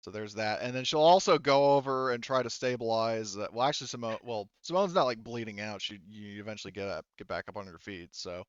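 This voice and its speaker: A man narrates a tabletop roleplaying session as game master in a clear, smooth voice, recorded close and dry. He is halting and casual, working things out aloud with some swearing. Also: lowish voice, no room sound, highs cut off.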